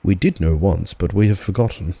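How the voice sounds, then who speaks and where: A man narrating a children's storybook as a relaxed audiobook reading. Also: deep voice, fairly quick, vocal fry, no echo.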